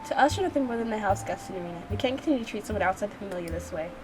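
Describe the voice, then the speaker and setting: A woman voicing a serious male mafia boss from a game, speaking in a measured, formal, earnest way. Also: mid-range voice, animated, lightly acted. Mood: firm, measured. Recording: music behind the voice.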